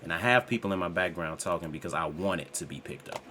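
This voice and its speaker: A man with a very deep voice hosts his channel and talks through a microphone review in a loose, self-assured, rambling way. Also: quick pace, sharp tone, slight background noise. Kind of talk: casual tech review talk. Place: home computer setup with a loud PC fan. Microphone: desktop USB microphone.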